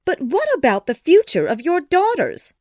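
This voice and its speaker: Storybook role, played by a woman: nagging middle-aged mother, flustered and dramatic, voice swooping high, recorded dry. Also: very animated, unhurried, darkish tone, no room sound. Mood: shocked, pleading. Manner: exclamatory, impatient, dramatic. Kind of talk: storybook dialogue acting.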